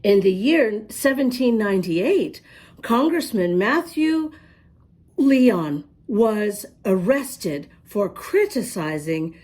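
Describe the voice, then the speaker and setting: A woman reading a picture book aloud to young children, expressively, pausing to ask them questions. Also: mid-range voice, sing-song, darkish tone. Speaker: children's storybook reader and host.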